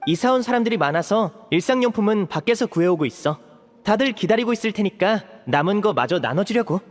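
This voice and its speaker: A man's voice: high voice, animated, brisk, a little hiss or hum. A man playing a polite, friendly, boyish young magician in a video game, with a youthful, welcoming delivery. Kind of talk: Korean video game voice acting.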